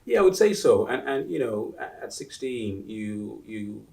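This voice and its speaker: A man in his forties from Uganda, answering interview questions in a halting way, with many restarts and fillers. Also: medium pitch, very animated, gravelly, a little mellow, recorded from a distance. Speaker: interview guest. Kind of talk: casual interview talk.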